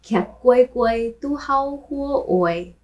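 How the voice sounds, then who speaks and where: A woman who grew up speaking Taishanese, hosting a language lesson where she repeats phrases slowly and translates them. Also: high-pitched, expressive, highs cut off. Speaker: language teacher and host. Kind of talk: language lesson with film clips.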